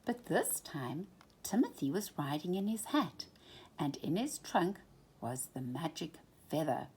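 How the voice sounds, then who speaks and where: A woman reading a children's storybook aloud at bedtime in an expressive, animated way. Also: sits low, a little slow, husky.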